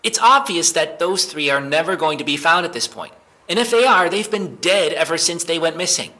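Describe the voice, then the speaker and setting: A man playing an evasive, jittery young man being questioned by police, halting and defensive, in a naturalistic audio drama. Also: fairly high pitch, expressive, pushed and bright. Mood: irritated, defensive.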